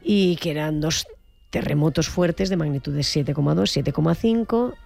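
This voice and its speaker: A man's voice: fairly high pitch, normal speed, creaky, darkish tone, soft background music. A man with a Valencian accent presenting and interviewing on a studio microphone at a radio station.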